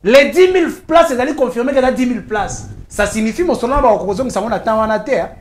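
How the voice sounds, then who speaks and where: A woman with a Congolese French accent pressing her argument on a talk show. Her voice sits high and swings widely in pitch as she insists point by point, slipping into Lingala phrases. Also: lively intonation, fast talker. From Kinshasa, DR Congo. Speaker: journalist guest. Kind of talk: heated talk-show debate.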